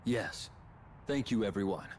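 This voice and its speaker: An actor playing an earnest young warrior with a solemn, determined manner in a video game cutscene. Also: male voice, on the low side, animated, a little slow, slight background noise. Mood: sincere. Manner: solemn, plainly spoken, determined. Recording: loud background music.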